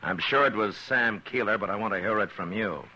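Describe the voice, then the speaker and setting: An actor playing a steady, calm frontier marshal who also narrates, in a 1950s radio drama. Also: male voice, husky, heavily acted, rough recording. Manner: deep, calm, measured. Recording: old radio broadcast with faint music.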